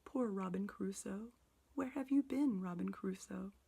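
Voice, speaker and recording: A woman's voice: mid-range voice, very slow, vocal fry, a little mellow, breathy edge, exaggerated voice. Old miser man, whispered, giddy and tearful, read by a woman. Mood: excited. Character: old miser man overcome with childlike excitement.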